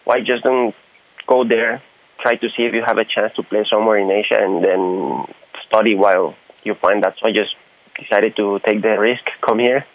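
A young man from Honduras, a footballer, answering radio interview questions in a halting way, often pausing to search for words. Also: on the low side, steady tone, no echo. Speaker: guest footballer. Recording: tinny phone-line radio sound.

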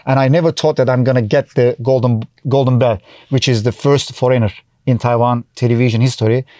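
A man's voice: medium pitch, steady tone, a little fast, mellow, no room sound. A middle-aged man from Turkey with a Turkish accent, chatting as an interview guest and telling stories with lots of restarts and acted-out remarks. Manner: chatty, restarts often, acts out his own past remarks. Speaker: guest, TV host and comedian. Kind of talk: casual interview chat.

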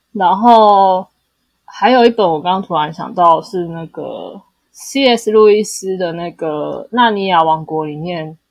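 A woman's voice: fairly low pitch, no room sound. A woman with a Taiwanese Mandarin accent co-hosting a casual book discussion, explaining things hesitantly and often correcting herself. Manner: hesitant, self-correcting explanations with many repeated affirmations. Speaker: podcast co-host.

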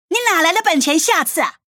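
A woman's voice: very high voice, pushed and bright, no room sound, clean studio sound, heavily acted. A woman playing a furious, embittered wife and mother in a radio drama, scolding and sarcastic. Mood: scolding, threatening. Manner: scolding, sarcastic, foul-mouthed.

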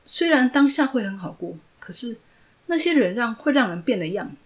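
A woman's voice: fairly low pitch, expressive, normal speed, natural voice. A woman voicing a stubborn, proud young shop owner who speaks firmly and indignantly. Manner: firm, indignant, raised voice.

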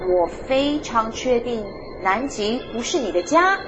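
Emphatic penguin for picture book female reader, matter-of-fact, high voice. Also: very animated, a little slow, highs cut off, music in the background. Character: matter-of-fact penguins, complaining baby and firm daddy.

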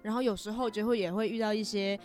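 A young woman with a Taiwanese accent hosting a podcast in a chatty, expressive, casual way. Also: medium pitch, quick pace, faint music behind. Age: young adult. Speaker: podcast host. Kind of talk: casual chat.

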